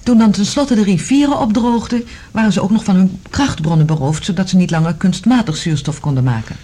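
A woman's voice: mid-range voice, animated, brisk, mellow, lightly acted. A woman acting an earnest scientist in a science-fiction radio drama, explaining in an articulate, thoughtful way. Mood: explanatory, earnest. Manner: expository, articulate.

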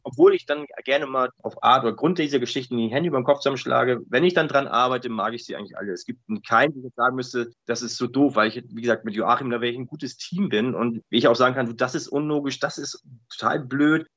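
Fast-talking man, chatty and slightly muffled. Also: medium pitch, dry recording. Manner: colloquial, rambling, animated storytelling with tag questions. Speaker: interview guest, audio drama writer. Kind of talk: casual interview chat.

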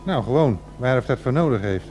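An actor playing a stubborn, grumbling local man with a Groningen Dutch accent in a radio drama, blunt, gruff and repetitive. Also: medium pitch, animated, fairly quick, darkish tone, soft background music, lightly acted. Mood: suspicious, stubborn. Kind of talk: radio drama street interview.

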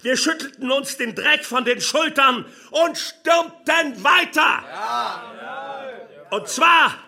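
A fanatical Waffen-SS hero haranguing listeners in a radio drama. His pitch is extremely high and his tone hard and piercing. He declaims slowly and stridently. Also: sharp tone, raised voice, exaggerated voice. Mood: fanatical, triumphant. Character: fanatical decorated Waffen-SS war hero boasting to crowd. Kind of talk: shouted wartime oration in a radio play.

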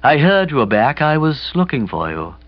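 Man voicing a plaintive young gentleman, pitched up. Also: big pitch swings, moderate pace, dry recording, lo-fi sound. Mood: glum, reluctant. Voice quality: husky. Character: dejected young gentleman friend confessing romantic woes.

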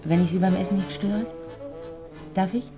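An actress in a German radio drama playing a shy, earnest, self-effacing dramaturge who speaks politely, timidly and with care. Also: female voice, medium pitch, steady tone, moderate pace, music in the background, natural voice.

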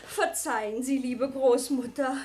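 An actress playing a quick-witted, pert young daughter, glib and coyly evasive, in theatrical radio comedy style. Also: female voice, high-pitched, steady tone, a little mellow. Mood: exasperated. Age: young adult.

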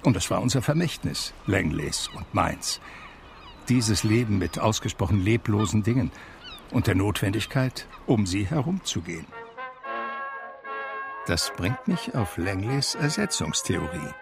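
A blind, cultured gentleman looking back on his life in a radio drama. His voice is slightly low and gravelly, with measured, articulate phrasing and a gentle wry streak. Music runs clearly behind him. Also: very animated, exaggerated voice. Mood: wry, reminiscing. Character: blind man from a cultured New York family, reminiscing wryly. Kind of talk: German radio drama first-person narration.

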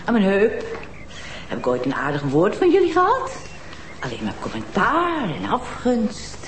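A woman's voice: mid-range voice, wildly swooping pitch, a little slow, reverberant, a little hiss or hum. An actress playing a bitter, spiteful older woman who snaps with contempt at her family. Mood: scornful. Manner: harsh and contemptuous, snapping. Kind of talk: TV crime drama acting.

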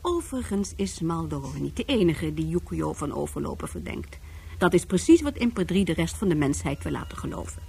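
A woman's voice: low-pitched, huge pitch swings, a little fast, vocal fry, warm tone, husky. A woman narrating a science fiction radio drama from a script.

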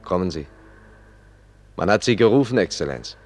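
An actor playing a courteous, cryptic poet who guides his companion onward, formal and measured, in a German radio play. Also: low voice, expressive. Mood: solemn, urging. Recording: echoey hall reverb, faint music.

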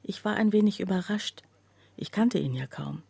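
An actress playing a troubled woman who recounts her own breakdown in a radio play, with a husky, breathy voice. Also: mid-range voice, expressive, normal speed, darkish tone, dry recording, exaggerated voice. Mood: confessional. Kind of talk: scripted first-person radio play narration.

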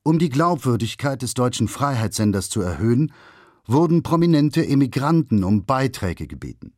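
A man's voice: medium pitch, sing-song, moderate pace, darkish tone, dry recording, studio quality. A man delivering scripted documentary narration in a factual, formal manner. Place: dry studio.

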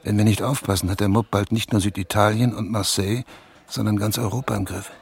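A man's voice: lowish voice, steady tone, fairly quick, gravelly, breathy edge. A man playing an intense, insistent investigative journalist who is hungry for an exclusive, in a radio drama. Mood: urgent warning. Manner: urgent, insistent, emphatic explaining. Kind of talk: German radio drama dialogue. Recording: music behind the dialogue.

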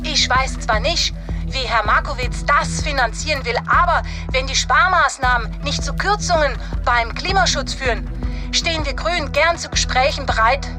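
An actress playing a diplomatic female Green politician giving a measured soundbite in a satirical radio play. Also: high-pitched, over music, lightly acted. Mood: guarded, willing. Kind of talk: soundbite in mock news.

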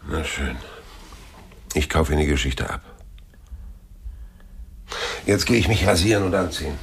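An actor playing a world-weary, wry private detective in a German crime radio play, laconic and sardonic, with a deep, gravelly, husky voice. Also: male voice, measured pace, a little hiss or hum, exaggerated voice. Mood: probing, dry. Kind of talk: German radio play acting and first-person crime narration.

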